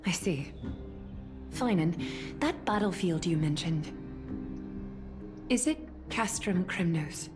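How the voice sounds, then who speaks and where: A regal game ruler with a slightly low voice. She is formal, composed and authoritative, choosing each word with measure. Music plays clearly behind her. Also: lively intonation, slow, pauses often. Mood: coolly probing. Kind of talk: dramatic game voice acting.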